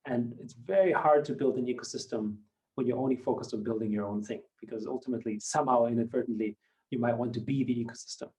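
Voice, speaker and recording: A man in his fifties, a German native speaker who grew up in Austria, answering interview questions in a measured, reflective way with many hedging fillers. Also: medium pitch, mellow, poor audio. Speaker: company founder and guest. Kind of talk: podcast interview.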